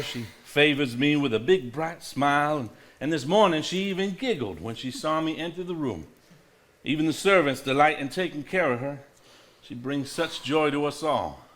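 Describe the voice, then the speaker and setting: A man acting in a live stage play as a sentimental reader of a grieving father's old diary, measured and formal. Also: on the high side, lively intonation, a bit bright. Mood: wistful, fond. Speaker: stage actor.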